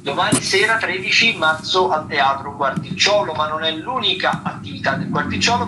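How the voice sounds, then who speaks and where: Higher-voiced male radio host over music. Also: low-quality recording. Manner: hesitant, searching for words, repeating articles. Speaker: radio host presenting theatre listings. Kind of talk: loose, semi-improvised radio listings commentary. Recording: radio broadcast with music underneath.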